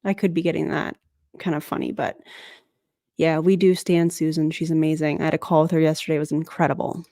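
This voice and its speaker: A woman with a fairly low voice talks through a livestream commentary. She is blunt and skeptical, with sarcastic asides. The sound is dry and close. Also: little pitch movement, fairly quick, dark tone, dry recording. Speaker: anti-MLM commentator reacting to a clip.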